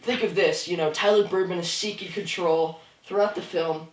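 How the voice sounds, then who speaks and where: A man's voice: high voice, fairly even intonation, moderate pace. A man hosting a casual discussion as moderator, putting questions to a guest. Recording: echoey room, distant mic, background noise, clicks.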